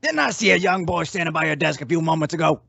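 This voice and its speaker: A man's voice: a little high, expressive, creaky, sharp tone, dry recording, full character voice. An actor playing a stern, formal male bank manager in an old-time radio western. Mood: stern, guarded. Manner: formal, brisk. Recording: dull lo-fi audio.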